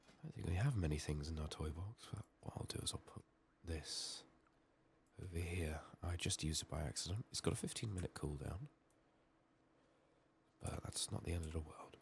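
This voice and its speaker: A man giving soft-spoken, partly whispered ASMR commentary on a video game, muttering in a hushed, unhurried way over keyboard and mouse clicks. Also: low voice, creaky. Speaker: video game streamer, host. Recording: faint noise, keyboard typing and mouse clicks.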